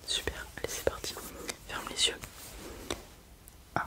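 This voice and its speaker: An ASMR creator whispering softly and without voice while running a roleplay exam, giving gentle praise after every answer. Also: a little slow. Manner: soft, unvoiced whispering throughout. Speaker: ASMR creator playing an examiner. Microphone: close mic with left and right ear whispering.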